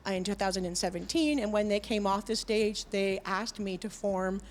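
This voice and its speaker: A middle-aged woman, a band's singer, chatting as a guest in a TV studio, talking in a lively way with many fillers and restarts. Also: medium pitch, moderate pace. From Canada. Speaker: band vocalist, guest. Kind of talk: casual chat. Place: TV studio with audience.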